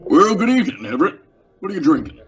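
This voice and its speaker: Young man as a lively, folksy saloon man. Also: high-pitched, fast talker. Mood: patronizing. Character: folksy frontier saloon man, patronizing and wary. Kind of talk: old-time western radio drama acting. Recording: dull lo-fi radio recording.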